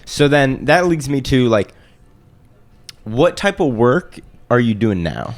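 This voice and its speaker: A man's voice: medium pitch, very animated, takes it slowly. A man hosting a podcast, asking questions in an easygoing way and narrating between segments. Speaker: podcast host and interviewer. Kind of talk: casual interview and voice-over narration.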